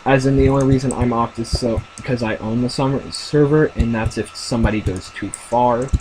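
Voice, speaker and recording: A young man casually commenting on his own game as he plays, thinking aloud with lots of fillers and a deep voice. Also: steady tone, fairly quick, a little mellow, slight background noise, low-quality recording. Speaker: gamer narrating his play.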